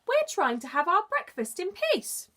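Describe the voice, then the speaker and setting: A squeaky, high-pitched daddy ladybird played by a woman, small and thoroughly indignant. He is a cross storybook creature who wants to be left in peace. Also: huge pitch swings, unhurried, a bit bright, exaggerated voice.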